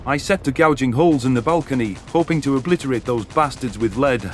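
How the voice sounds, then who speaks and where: A man's voice: mid-range voice, quick pace, soft background music. A man narrating a dramatic first-person science fiction story as an audiobook.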